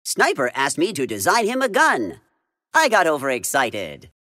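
A man's voice: on the high side, huge pitch swings, moderate pace, pushed and bright, dry recording, studio quality, full character voice. A male actor voicing a cocky little keenfolk tinker, a gleeful mad inventor for a video game, with a smug, theatrical, scheming manner. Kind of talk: video game character voice lines.

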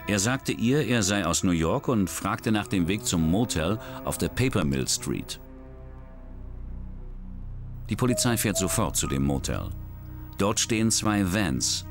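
Male documentary narrator, low register, scripted crime storytelling, music underneath. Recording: music bed under voice-over. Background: background music.

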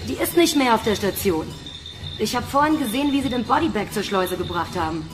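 An actress plays a tough woman recruit in a sci-fi audio drama. She is bossy and mocking, with a somewhat high, swooping voice. Music sits underneath. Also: animated, a little fast, lightly acted. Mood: scornful. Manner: brash, mocking. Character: tough, scornful female recruit, rough and bossy. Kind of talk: German audio drama acting.

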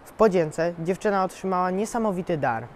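A young teenage narrator reads a scripted story at a medium pitch. The voice rings in a long, hall-like reverb. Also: expressive, brisk, slight background noise.

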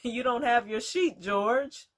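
A woman playing a formal, authoritative schoolteacher with a husky voice in a staged story reading. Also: fairly high pitch, slow, slight background noise. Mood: encouraging. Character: formal, brisk female schoolteacher running auditions. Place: indoor room, plain wall.

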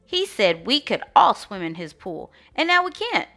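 A woman's voice: a little high, big pitch swings, moderate pace, a bit bright. A woman voicing an indignant, rabble-rousing monkey candidate in a storybook, punchy and emphatic. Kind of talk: storybook read-aloud.

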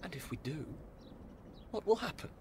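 A man's voice: on the high side, animated, moderate pace, dark tone, slight background noise, lightly acted. A man playing a bitter, defiant young son in a radio drama, sarcastic and hot-headed. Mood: bitter, accusing. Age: young adult. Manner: sarcastic, volatile.

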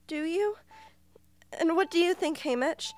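A woman's voice: extremely high pitch, a little slow. A woman playing an earnest, protective young girl in an amateur radio play. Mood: challenging.

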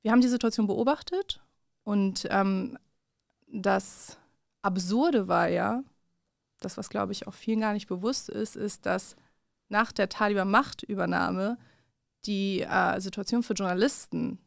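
A woman's voice: medium pitch, unhurried, vocal fry, no echo. A woman in her thirties from Afghanistan, a journalist guest speaking thoughtfully in a studio interview, with fillers and restarts, on a studio microphone. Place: small dark studio with curtains. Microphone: large studio mic on a stand.

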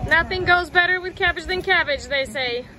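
A woman's voice: extremely high pitch, moderate pace. A woman narrating a casual travel vlog in a wry, playful way, outdoors on a sailboat. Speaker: sailing vlogger. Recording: loud wind and boat noise. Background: wind, water and boat noise.

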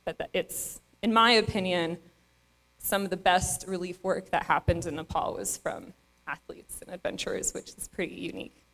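A woman's voice: fairly low pitch, normal speed, fairly bright tone, poor audio. Young woman at a stage mic, award speech, earnest, lots of ums. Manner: earnest, with frequent fillers and restarts. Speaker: award recipient giving a speech. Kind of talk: acceptance speech. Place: stage in a dark event venue. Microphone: stand mic.

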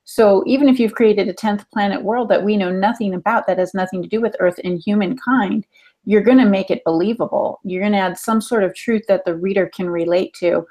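A woman's voice: medium pitch, fairly quick, mellow. A middle-aged woman speaking as a podcast panelist on a video call, explaining things in a conversational way. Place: room at home, video call.

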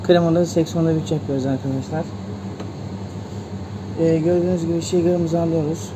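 Man explaining a cocktail with a flat voice. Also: on the high side, moderate pace, very noisy. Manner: plain, repeats "arkadaşlar" as he narrates each step. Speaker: bartender host. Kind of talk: step-by-step cocktail demonstration. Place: hotel bar indoors.

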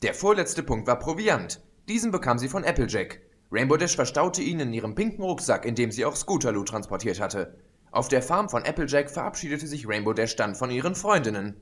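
Casting: man as steady audiobook narrator, medium pitch, even delivery. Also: a little fast. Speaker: audiobook reader. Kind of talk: scripted narration.

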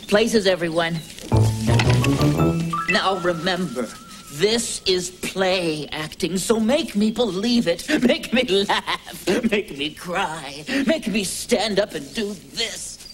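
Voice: medium pitch, slow, close to an everyday voice. Someone as a theatrical male hare director. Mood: directing. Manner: dramatic, commanding, giggly. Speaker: play director. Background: background music.

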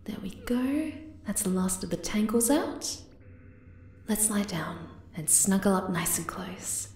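A woman voicing a shy, flustered young moth-girl lover in an ASMR roleplay, hesitant, tender and soft-spoken. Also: medium pitch, animated, measured pace, roomy sound, slight background noise, natural voice. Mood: gentle, comforting.

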